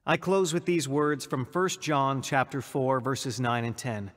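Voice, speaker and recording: A man's voice: mid-range voice, fairly even intonation, a little slow, a bit bright, no room sound, studio quality, lightly acted. An actor playing an earnest, eager young man turned pastor in a dramatized radio testimony. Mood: earnest preaching. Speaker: narrator and lead actor.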